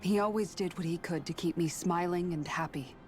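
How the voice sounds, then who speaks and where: A woman voicing an earnest, idealistic young guardswoman in a video game, speaking sincerely and gently. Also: on the low side, a little fast, lightly acted. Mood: fond, earnest. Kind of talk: scripted video game dialogue. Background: game music.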